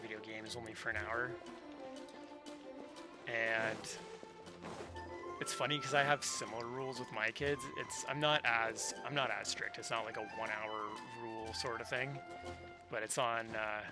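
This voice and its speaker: A man in his thirties chatting casually and ramblingly on a livestream while he plays a game. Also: medium pitch. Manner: rambling, easygoing storytelling. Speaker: game streamer. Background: video game music.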